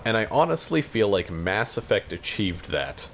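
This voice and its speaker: Low-voiced man in casual podcast chat. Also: very animated, normal speed, a bit bright, slight background noise. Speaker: podcast co-host.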